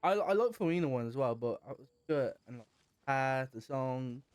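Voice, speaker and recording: A man's voice: mid-range voice, lively intonation, normal speed, clear, resonant tone, dry recording, faint music behind. A man streaming a video game, reacting in casual commentary that rambles, full of fillers and restarts.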